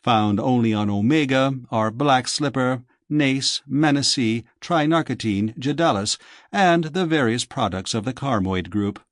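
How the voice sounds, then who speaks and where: A man's voice: mid-range voice, a little slow, dry recording, studio quality, lightly acted. A man voicing a sleek young dream-shop manager in an audiobook, with polished, formal, lecturing sales patter. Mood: suave, instructive.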